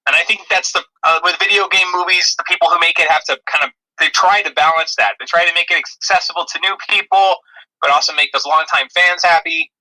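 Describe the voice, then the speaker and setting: A man's voice: a little high, steady tone, fast, sharp tone, low-quality recording. A man co-hosting a podcast in a casual, conversational review style. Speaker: podcast co-host. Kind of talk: casual movie review chat.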